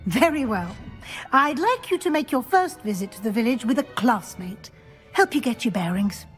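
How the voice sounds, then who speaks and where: A woman playing a brisk, watchful professor at a wizard school in a video game, speaking formally and matter-of-factly. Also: on the high side, animated, soft background music, natural voice. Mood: brisk, probing. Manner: formal, crisp, matter-of-fact. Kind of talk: scripted video game acting.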